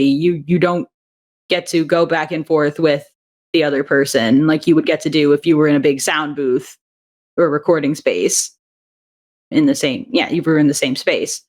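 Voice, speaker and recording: A woman, an audio drama writer and director, talking casually in an interview, chatty with frequent restarts and easy laughter. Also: low-pitched.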